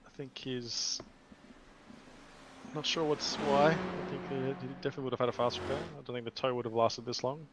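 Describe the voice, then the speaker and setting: A man's voice: medium pitch, fairly quick. A man giving casual live sim racing commentary as a co-commentator, musing and somewhat hesitant. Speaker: motorsport stream co-commentator. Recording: clear background noise on stream.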